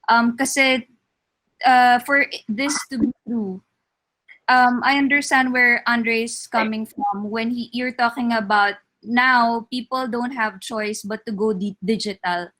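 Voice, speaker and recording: A woman with a Filipino accent offering measured, thoughtful remarks in a team meeting, mixing Tagalog and English. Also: on the high side, fairly even intonation, pushed and bright, rough recording. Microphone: video call.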